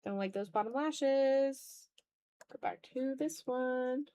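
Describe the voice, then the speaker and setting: A woman's voice: sits high, takes it slowly, warm tone, no echo, studio quality. Casual, self-deprecating woman streamer. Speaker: art livestreamer. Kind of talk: casual chat while drawing on stream.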